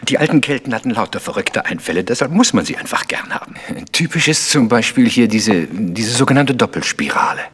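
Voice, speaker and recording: A man's voice: medium pitch, lively intonation, fairly quick, a bit bright, slightly rough. An actor playing a jovial, enthusiastic male archaeologist in a German radio play from the 1960s.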